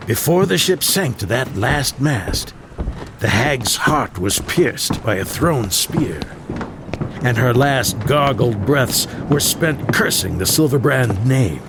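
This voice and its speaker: A man's voice: mid-range voice, noisy background. An actor playing a grave old grandfather, telling a legend as dramatic storytelling. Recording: loud outdoor ambience behind the voice. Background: loud outdoor ambience.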